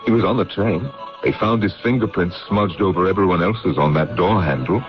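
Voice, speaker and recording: A man acting in a vintage radio drama as a steady, practical confidant and investigator who reasons matter-of-factly. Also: deep voice, fairly quick, music in the background, close to an everyday voice. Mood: curious, thoughtful. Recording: muffled old radio broadcast.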